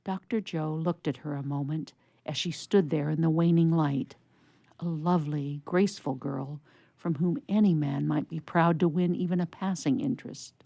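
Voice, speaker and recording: Low, creaky-voiced woman narrator. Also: steady tone, warm tone, dry recording. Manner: measured storytelling. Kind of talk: scripted story narration for radio theatre.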